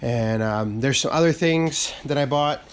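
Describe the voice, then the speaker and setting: Relaxed man, a bit raspy. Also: medium pitch, unhurried. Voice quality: slightly raspy from a cold. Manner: relaxed, rambling, many fillers and restarts. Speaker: channel host. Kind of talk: casual update vlog.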